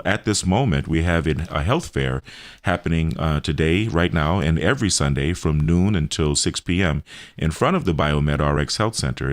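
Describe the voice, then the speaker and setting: A man's voice: low voice, no echo. An American man talking on a radio health show as its host, explaining things step by step in simple terms.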